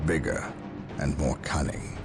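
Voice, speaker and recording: A stern military commander of armoured space marines, with a deep voice and formal, commanding oratory. He sounds grave and resolute, in dramatic video game style, with music behind him. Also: steady tone, unhurried. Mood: grave, reflective. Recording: game audio over clear background music.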